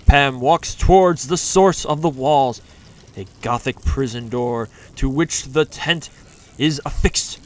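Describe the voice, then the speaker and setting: A man narrating a campy retro serial in a theatrical, deliberate, scripted style. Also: medium pitch.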